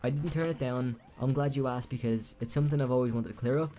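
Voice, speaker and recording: A man's voice: medium pitch, fast talker, mellow, natural voice. A man reading aloud an actress's quoted interview answers in his own plain voice.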